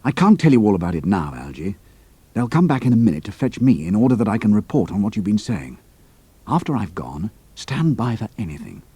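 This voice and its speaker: A man plays a resourceful young British airman in an adventure story. His officer talk is clipped and offhand, with lively pitch movement and a close, dry sound. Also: brisk, slightly rough, no room sound, exaggerated voice. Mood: urgent, guarded. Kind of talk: audiobook character acting.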